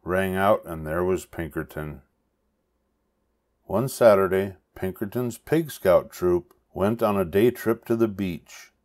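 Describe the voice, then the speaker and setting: An older man reading a children's story aloud with expressive narration. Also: sits low, slow. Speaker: storybook reader.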